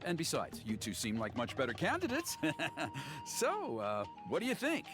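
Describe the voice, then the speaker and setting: Expressive tribesman, earnest, gossipy, game character, widely swinging highish pitch, faint music. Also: moderate pace. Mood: persuasive. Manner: expressive, grumbling then ingratiating.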